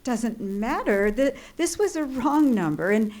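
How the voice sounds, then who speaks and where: A woman's voice: a little high, expressive, measured pace, darkish tone. An actress playing a fretful, demanding woman on the telephone in an old-time radio thriller, agitated and insistent. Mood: exasperated, insistent. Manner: agitated, insistent, theatrical, stammering with alarm. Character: fretful, demanding, highly strung telephone caller in distress.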